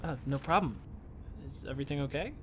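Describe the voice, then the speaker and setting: A man's voice: a little high, brisk, fairly bright tone, a little hiss or hum, close to an everyday voice. A man in an audio drama playing an awkward, timid young man from a small town, hesitant and apologetic. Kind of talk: naturalistic audio drama acting.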